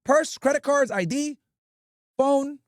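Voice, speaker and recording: A man's voice: very high voice, slow pace, no echo, studio quality. A male livestream host giving brash, profane commentary and telling stories with lots of swearing. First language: English. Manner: brash, profane, animated storytelling.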